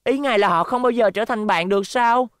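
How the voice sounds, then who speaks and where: A woman's voice: fairly high pitch, a little fast, dry recording, clean studio sound, lightly acted. A woman dubbing an innocent, earnest young boy robot in a cartoon, asking questions. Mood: curious, puzzled.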